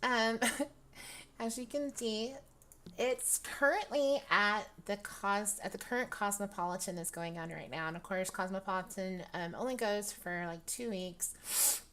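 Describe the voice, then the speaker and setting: A young woman with a dry, raspy voice, chatting casually through a product review with lots of ums and restarts. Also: mid-range voice, fairly even intonation, measured pace, a bit bright. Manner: rambling, many ums, laughs often. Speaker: virtual-world fashion vlogger.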